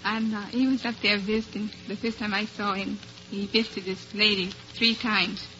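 A woman's voice: medium pitch, flat delivery, moderate pace, bright, forceful tone, highs cut off. A woman from the United States chatting as a contestant on an old radio quiz show, polite and good-humoured. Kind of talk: chatty storytelling in a radio interview. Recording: slightly noisy, band-limited old radio broadcast.

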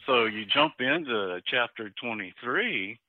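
A man's voice: mid-range voice, expressive, unhurried, no room sound. A male podcast guest speaking over the phone, joking and laughing easily as he talks. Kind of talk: casual conversation about scripture. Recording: tinny phone line.

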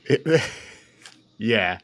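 A man's voice: on the low side, animated, drawn-out words, dry recording. A man co-hosting a podcast, giving casual, opinionated film critique in an exasperated, incredulous manner. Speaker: podcast co-host.

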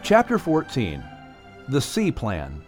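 A man narrating a children's audiobook story in a dramatic, expressive style. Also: medium pitch, slow, soft background music.

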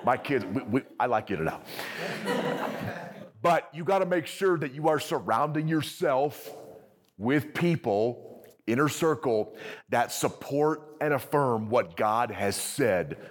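A man preaching to a live congregation, energetic and conversational, often checking in with the audience. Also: medium pitch, slow pace. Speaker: pastor. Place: church service with audience.

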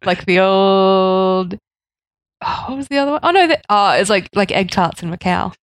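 A woman co-hosting a casual food podcast, giggly and quick with short agreements. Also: medium pitch, lively intonation, clear, resonant tone, dry recording, clean studio sound. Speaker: podcast co-host.

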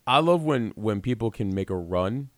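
A man's voice: fairly low pitch, animated, moderate pace. A man hosting a casual interview, reacting enthusiastically and rambling through his follow-up points. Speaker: host.

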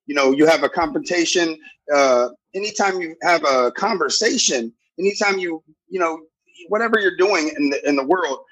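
A man with a loud, deep voice talking earnestly in a casual podcast discussion, often restarting his phrases. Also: expressive, normal speed, slightly rough, poor audio. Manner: earnest, restarts phrases, keeps asking "you know what I'm saying". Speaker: podcast guest.

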